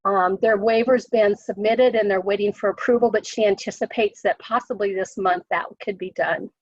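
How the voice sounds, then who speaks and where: A woman official with an American accent, hoarse from a cold, briefing on a conference call, halting with many ums and restarts. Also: medium pitch, steady tone, no room sound. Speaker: state education official. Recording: dry muffled call audio.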